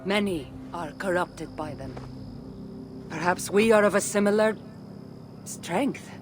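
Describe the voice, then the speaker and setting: An actress playing a confident, knowing adventurer in a video game cutscene, with a Greek accent and an earnest, expressive delivery. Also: female voice, lowish voice, slow pace, noisy background. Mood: measured, assured. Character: confident, knowing adult woman adventurer hunting ancient artifacts. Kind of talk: dramatic game cutscene acting. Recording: game audio with music behind.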